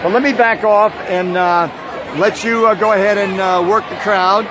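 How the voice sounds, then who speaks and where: A man walking through a campus crowd, giving halting live commentary on a protest with many "uh" fillers. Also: high-pitched, fairly even intonation. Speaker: protest livestreamer. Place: outdoors on a busy university campus. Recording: noisy crowd outdoors. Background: loud student crowd.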